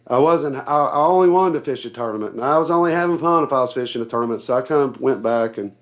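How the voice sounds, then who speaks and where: A man being interviewed casually as a guest over the phone, speaking in a plain, low-key, somewhat hesitant way. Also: fast talker. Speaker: guest, tournament kayak angler. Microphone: remote phone call. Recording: dull, band-limited phone call audio.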